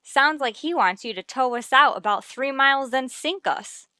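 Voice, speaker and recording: An actress playing a wry, wisecracking English teacher in a vintage radio comedy, delivering sardonic, deadpan quips. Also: female voice, high-pitched, moderate pace, no room sound, clean studio sound. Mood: sarcastic.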